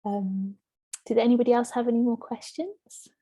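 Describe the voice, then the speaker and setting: A woman's voice: fairly high pitch, fairly even intonation, moderate pace, warm tone. A woman hosting an online event in an informal, chatty way. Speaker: host of an online book launch. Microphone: online video call.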